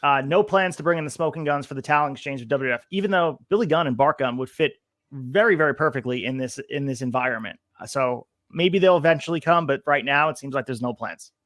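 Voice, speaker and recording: A man's voice: medium pitch, fast, fairly bright tone, no echo, studio quality. A man hosting a podcast in casual chat, upbeat, reading from old notes and asking his co-host questions. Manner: upbeat, asks questions, reads notes aloud.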